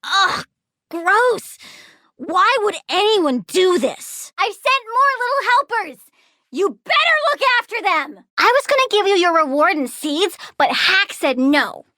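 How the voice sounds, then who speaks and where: Bratty high-pitched girl villainess. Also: lively intonation, unhurried, pushed and bright, no room sound, exaggerated voice. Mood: petulant, annoyed. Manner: childlike, sassy, gleefully morbid, petulant. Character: bratty young girl plant villainess, eager and morbid. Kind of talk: video game character voice acting.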